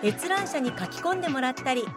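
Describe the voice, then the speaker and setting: A woman's voice: high-pitched, animated, quick pace, over music. A woman playing the formal, explanatory narrator of a radio drama, reading from a script. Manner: formal, expository.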